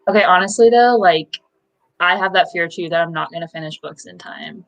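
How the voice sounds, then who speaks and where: A woman's voice: lowish voice, brisk, low-quality recording. A young woman in casual chat as co-host of a book livestream, rambling with lots of likes and ums.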